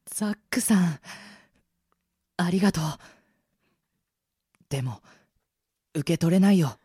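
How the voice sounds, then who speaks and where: A man acting a loyal, gentle young adventurer boy in an amateur radio drama, speaking earnestly and politely. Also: sits high, expressive, slow, husky, no echo, studio quality, full character voice. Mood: hesitant. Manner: soft-hearted, earnest, polite.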